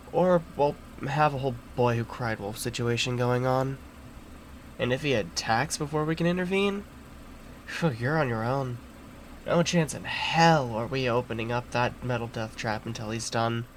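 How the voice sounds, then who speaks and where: A man performs a weary guard on prisoner transport in an audio drama. He is gruff, blunt and dryly sardonic, in a middling pitch, over a faint bed of noise. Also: lightly acted. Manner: blunt, sardonic, foul-mouthed. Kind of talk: acted audio roleplay.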